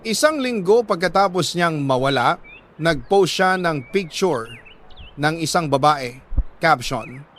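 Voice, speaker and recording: A Filipino man reading a listener's letter as a radio host, in solemn, sentimental dramatic narration with deliberate pauses. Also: on the high side, animated, fairly bright tone. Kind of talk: dramatic scripted narration of a confessional love letter.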